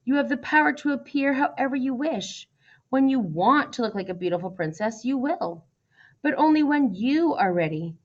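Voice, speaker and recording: A woman voicing a calm, gracious young prince in a storybook, admiring and patient, explaining gently and in a measured way. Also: sits high, lo-fi sound, voice pushed up. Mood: gentle, assured. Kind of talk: storybook character voice.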